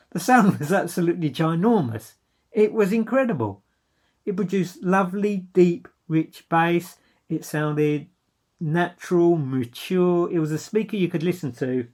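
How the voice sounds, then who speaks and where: A man presenting an informal product review as a dealer, speaking in an enthusiastic, emphatic way. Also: fairly high pitch.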